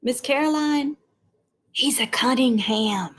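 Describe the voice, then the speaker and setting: A woman's voice: sits high, slow, a bit bright, husky, pitched above the performer's own voice, exaggerated voice. A woman reading the part of a frank, earnest young schoolgirl in a storybook. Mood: hesitant.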